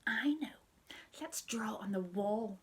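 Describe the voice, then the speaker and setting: A woman's voice: a little high, very animated, moderate pace, breathy edge. Woman voicing a whiny, scheming little boy. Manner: whiny and wheedling. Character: naughty scheming young boy.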